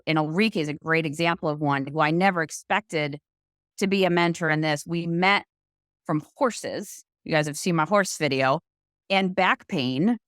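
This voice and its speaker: A woman's voice: sits low, a bit bright, no room sound, studio quality. A woman podcast host talking in a casual, enthusiastic, rambling way with loose phrasing. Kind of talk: casual conversational chat.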